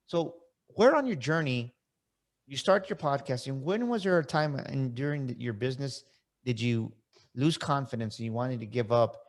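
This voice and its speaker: A man's voice: medium pitch, moderate pace. A man in his forties hosting a podcast interview, speaking fervently and repeating phrases for emphasis. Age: 40s. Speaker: podcast host. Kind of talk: motivational interview talk.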